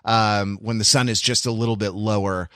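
A man's voice: on the low side, steady tone, normal speed, a bit bright, no room sound, clean studio sound. A man co-hosting a podcast, discussing film casually and rephrasing as he explains technical detail. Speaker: podcast co-host.

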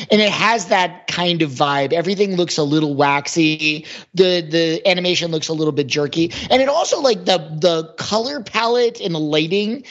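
A man's voice: high voice, lo-fi sound. A man co-hosting a podcast, telling stories and opinions casually with a wry manner. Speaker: podcast co-host. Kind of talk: casual conversational storytelling.

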